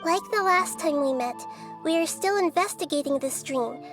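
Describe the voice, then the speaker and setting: A woman voicing a gentle, wise, childlike little goddess in a video game, calm, measured and careful in her wording. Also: high-pitched. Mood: gently regretful. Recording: music clearly behind the voice.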